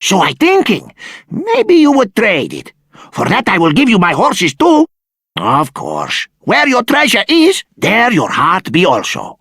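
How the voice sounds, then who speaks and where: An actress playing an eager foreign horse trader who speaks in broken, non-native English. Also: female voice, mid-range voice, huge pitch swings, unhurried, a bit bright, slightly rough, no echo, studio quality, full character voice. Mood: eager, bargaining.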